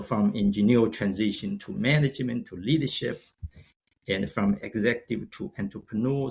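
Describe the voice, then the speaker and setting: A man in his sixties from China, speaking English with a Chinese accent, as a guest. He talks haltingly, with frequent restarts and fillers as he searches for words. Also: mid-range voice, vocal fry, a little mellow. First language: Shanghainese. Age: 60s. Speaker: guest, company founder. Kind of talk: casual interview talk.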